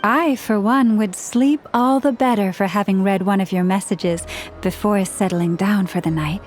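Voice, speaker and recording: An actress playing a serene, kindly priestess in a video game, speaking in a gentle, formal, measured way. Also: female voice, medium pitch, music in the background, close to an everyday voice. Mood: gentle, curious. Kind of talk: video game cutscene voice acting.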